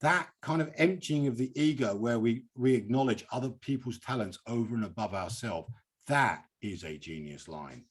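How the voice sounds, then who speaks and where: British male event host, husky mid-range voice, effusive and chatty, video-call sound. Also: mid-range voice, lively intonation, normal speed. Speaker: host of a poetry reading. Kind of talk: casual event hosting.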